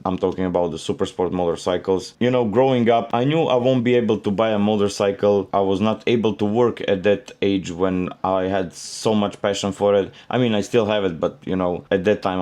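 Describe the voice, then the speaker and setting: A young man from Serbia with a Serbian accent, casually telling his motorcycle story in a rambling way with many fillers. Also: lowish voice. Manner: relaxed and rambling, many "you know" fillers. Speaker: motorcycle vlogger.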